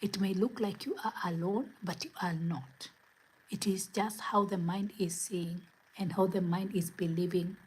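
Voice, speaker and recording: A woman from Kenya hosting a spiritual livestream from home, speaking in gentle, repetitive affirmations. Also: fairly low pitch, steady tone, measured pace, breathy edge, rough recording. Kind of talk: inspirational livestream talk. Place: home, where it is late at night.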